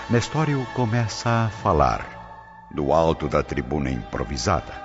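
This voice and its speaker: Very deep dramatic male narrator. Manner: measured, dramatic. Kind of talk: dramatic radio-play narration. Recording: dull audio with loud music.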